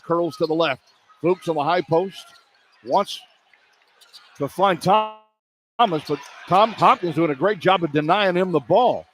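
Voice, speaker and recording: A man doing live radio play-by-play of a basketball game in short, clipped phrases as the announcer. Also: high voice. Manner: clipped phrases, animated on big plays. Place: indoor college basketball arena. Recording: live arena broadcast with background noise. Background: arena ambience.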